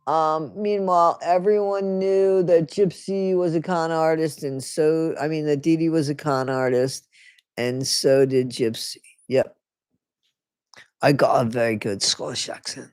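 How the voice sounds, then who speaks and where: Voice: mid-range voice, a little mellow, no room sound, studio quality. A livestream host reading a book aloud to viewers and breaking off for sarcastic, chatty comments, often stopping to reread a line. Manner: sarcastic asides between read passages. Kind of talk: casual live reading with commentary.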